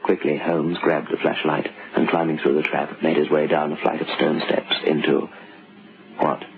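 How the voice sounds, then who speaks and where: A man giving formal, measured scripted narration for an old-time radio horror drama. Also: a bass voice, little pitch movement, gravelly. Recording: dull old radio recording, music underneath.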